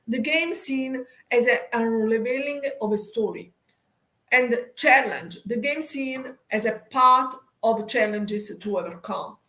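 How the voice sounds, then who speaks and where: High-voiced Italian woman reading a lecture. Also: a bit bright. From Italy. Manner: halting reading with stumbles and repeated words. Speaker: guest speaker, teacher. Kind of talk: scripted lecture read aloud. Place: indoor room, online video call. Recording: echoey room over video call.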